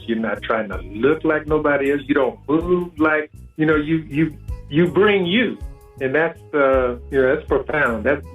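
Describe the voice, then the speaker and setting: A man hosting a casual radio interview, effusive and full of praise for his guest. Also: a little high. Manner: effusive, praising, short backchannels. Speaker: radio show host. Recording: tinny phone-line sound with faint music.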